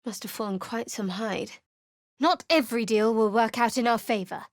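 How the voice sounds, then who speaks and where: A woman's voice: a little high, normal speed, no room sound, close to an everyday voice. A woman voicing a guarded, sardonic young cleric adventurer in a video game, speaking with dry, cutting wit and cool reserve. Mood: wry.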